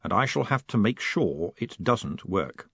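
Brief: male reader as a slick young schemer, lively, confident, dry lo-fi audio. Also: lowish voice, measured pace, creaky, breathy edge, dry recording, exaggerated voice. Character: quick-witted young male con man. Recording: dull, dry recording.

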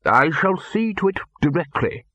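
A man's voice: a little high, big pitch swings, a little slow, a bit bright, husky, dry recording, highs cut off, pitched above the performer's own voice, exaggerated voice. A man reading an audiobook voices a nervous, fussy male college bursar who stammers and placates. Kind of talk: audiobook character voice.

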